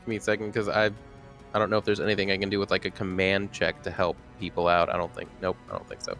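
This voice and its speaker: A man's voice: sits low, fast talker, vocal fry, a bit bright. A man chatting casually as a player in a tabletop roleplaying game. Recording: background music under the voice.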